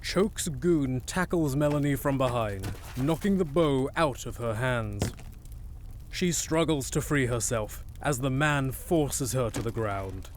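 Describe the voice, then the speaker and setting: A man's voice: mid-range voice, animated, slow, a little hiss or hum. A man reading dramatic scripted narration for an audio drama as its narrator.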